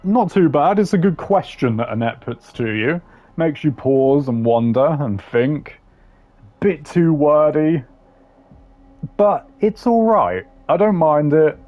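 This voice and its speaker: A man's voice: mid-range voice, a little mellow. A man hosting a gaming video with casual, wry, sardonic commentary and some swearing. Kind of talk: casual tier-list commentary.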